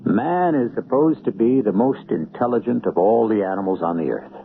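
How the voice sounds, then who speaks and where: A middle-aged man hosting a radio drama, narrating in a measured, wry, reflective way. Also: on the low side, lively intonation, creaky. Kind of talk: scripted narration. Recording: tinny old radio broadcast with music.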